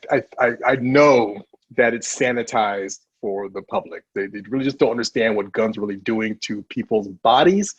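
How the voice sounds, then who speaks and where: A man who is a trauma surgeon, answering an interview question in a measured, earnest way with a husky voice. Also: medium pitch, no room sound, dull audio.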